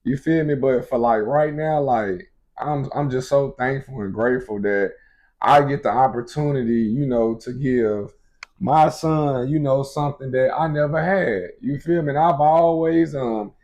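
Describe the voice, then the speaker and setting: A man's voice: medium pitch, a little slow, a little mellow. A man in his twenties chatting casually in a couple's Q&A video, loose and easygoing, full of fillers. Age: 20s. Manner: laid-back, frequent fillers like "you feel me". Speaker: vlogger, expecting father.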